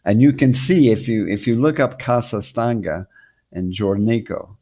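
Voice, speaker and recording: An older man telling a personal travel story about his family, unscripted and reflective, halting and repeating words as he goes. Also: mid-range voice, mellow. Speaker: storyteller recounting a family-history trip.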